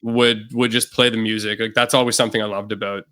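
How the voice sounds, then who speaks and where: A man's voice: fairly low pitch, quick pace. A young man, a musician guest on a podcast, chatting casually and loosely with lots of fillers. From Canada. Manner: relaxed, rambling with many fillers. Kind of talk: casual interview chat.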